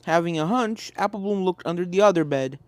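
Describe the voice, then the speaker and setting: A woman's voice: fairly low pitch, fairly quick. A woman narrating a scripted story expressively. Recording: music behind the voice.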